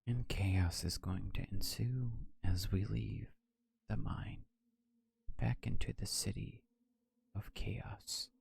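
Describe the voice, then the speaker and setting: Low, gravelly, hushed man doing ASMR. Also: fairly even intonation, slow, a little hiss or hum. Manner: hushed, often near whispering. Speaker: gaming video host. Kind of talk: soft-spoken ASMR gameplay commentary. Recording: loud game ambience behind voice. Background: video game ambience.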